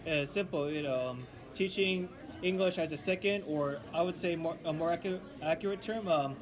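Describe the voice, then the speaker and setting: A young man studying linguistics, chatting casually in a halting way with many restarts and fillers. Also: on the high side, crowd noise. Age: young adult. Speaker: linguistics student. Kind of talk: casual chat.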